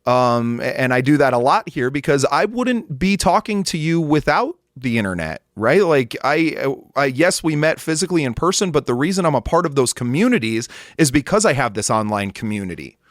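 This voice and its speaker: A male podcast host talks through his thoughts conversationally, his voice at an ordinary pitch. The recording is dry, with no room sound. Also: sing-song, moderate pace, hardly any pauses, no room sound. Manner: conversational, thoughtful, many fillers and restarts. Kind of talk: interview conversation.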